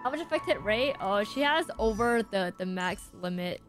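A woman game streamer giving casual live commentary to chat in informal, halting, slangy speech. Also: mid-range voice, lively intonation. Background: game music.

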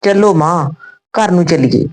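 A woman reading a scripted story and its dialogue in a deliberate, phrase-by-phrase manner. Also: lowish voice, darkish tone.

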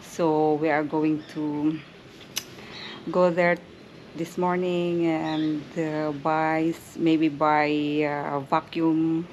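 A woman talks casually to her channel's viewers, searching for words with frequent ums and uhs. Her voice sits a little low and she speaks at a slow, unhurried pace. A steady outdoor ambience is clearly heard behind her on a camera mic. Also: lo-fi sound, a little hiss or hum. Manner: hesitant, many ums and uhs. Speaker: vlogger. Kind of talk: casual vlog talk to camera. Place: outdoors at a rural farm house.

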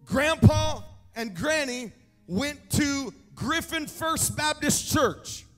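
A man, preaching an energetic sermon with a handheld mic on a large church stage, emphatic and shouting key points. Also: very high-pitched, slow pace, soft background music. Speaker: pastor. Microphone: handheld microphone. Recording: echoey hall, mic set back.

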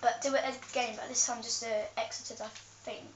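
A woman's voice: a little high, level tone, normal speed. A young woman talking through a game tutorial, hesitant with many ums and self-corrections, heard from a camera filming a TV. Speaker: gameplay tutorial host. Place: room with a TV, off camera. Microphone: camera filming the TV screen. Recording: echoey, distant, dull, noisy camera audio.

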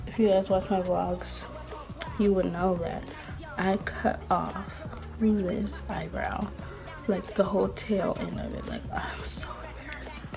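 A woman's voice: mid-range voice, creaky, warm tone. A 19-year-old woman chatting casually in her bedroom while doing her makeup, rambling with lots of "like" and "um". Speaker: beauty vlogger. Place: small bedroom.

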